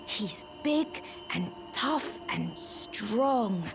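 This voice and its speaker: A woman voicing a small, earnest young living jar in a video game, childlike and wistful, speaking softly and confidingly. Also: fairly high pitch, animated, extremely slow, over music, exaggerated voice. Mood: admiring, wistful. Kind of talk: video game character acting.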